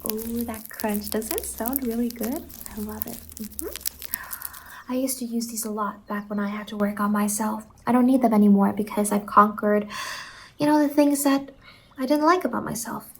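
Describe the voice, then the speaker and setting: Soft high-pitched woman doing ASMR roleplay. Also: fairly even intonation, normal speed, a little hiss or hum. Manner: soft-spoken, often slipping into whisper, soothing and reassuring. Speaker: ASMR roleplay performer playing a salon worker.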